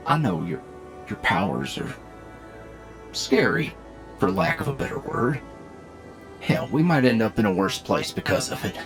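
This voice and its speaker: An actor playing a loyal, steady gunslinger, earnest and urgent, in an anime-style audio drama. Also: male voice, medium pitch. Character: loyal, steady male gunslinger pleading for his partner's help.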